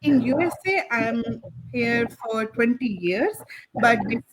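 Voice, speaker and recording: A woman's voice: on the high side, expressive, slow pace, noisy background. A woman from India, introducing herself on a video call, hesitant with fillers and restarts. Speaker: stay-at-home mom and business owner. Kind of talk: casual self-introduction.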